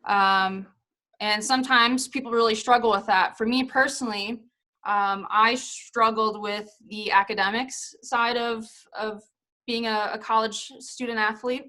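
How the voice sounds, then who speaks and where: A woman's voice: fairly high pitch, fairly even intonation, unhurried, bright, forceful tone. A woman in her thirties, a former professional soccer player, talking casually to a college team on a video call, with lots of fillers. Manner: chatty, many fillers and hedges. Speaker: guest speaker, former pro soccer player.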